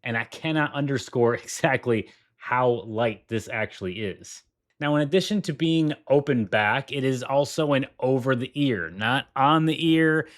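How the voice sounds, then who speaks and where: A man's voice: medium pitch, normal speed. A man hosting a tech video, walking through a product demo with enthusiasm and repeating his points for emphasis. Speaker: tech reviewer.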